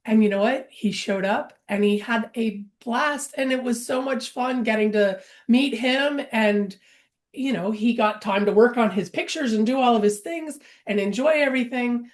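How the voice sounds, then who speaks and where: A woman's voice: a little high, moderate pace. A woman guest on a podcast, telling stories in an upbeat, casual way.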